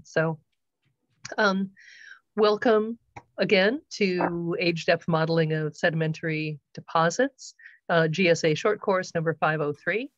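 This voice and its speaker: A woman hosting an online short course on a video call, welcoming people and going over house rules with many pauses and ums. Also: on the low side, no room sound, highs cut off. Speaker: course host.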